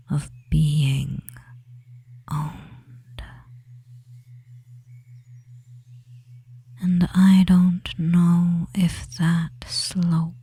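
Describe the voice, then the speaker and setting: Performer as a breathy, whispering, devious witch. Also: medium pitch, heavily acted. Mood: hypnotic, possessive. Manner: very slow, hushed, hypnotic, with long pauses. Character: devious, dominant young femboy witch, soothing and possessive. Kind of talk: whispered ASMR hypnosis roleplay.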